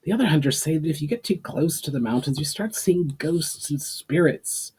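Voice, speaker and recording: A man's voice: a little high, expressive, normal speed, a little mellow, slightly rough, voice pushed up. A man voicing a worried, superstitious young male hunter in a storybook reading, anxious and complaining. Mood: spooked.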